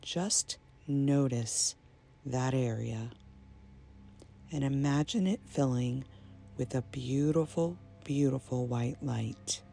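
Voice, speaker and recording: A woman with a low-key, creaky voice leads a guided meditation over ambient music. Her delivery is extremely slow and soothing. She measures out short phrases with pauses between them. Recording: soft binaural music bed. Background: ambient binaural meditation music.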